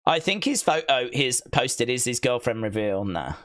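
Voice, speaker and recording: A man hosting a casual livestream, chatting to viewers in a rambling, joking way with deadpan asides. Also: medium pitch, moderate pace. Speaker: livestream host. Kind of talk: casual watch-along chat, reading viewer comments.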